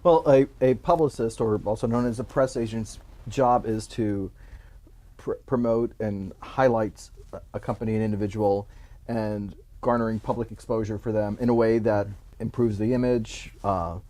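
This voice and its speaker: A man born in Cuba, a publicist, relaxed and rambling as he tells his life story in an interview. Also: lowish voice, moderate pace. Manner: relaxed, rambling, many restarts and fillers. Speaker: Hollywood publicist, guest.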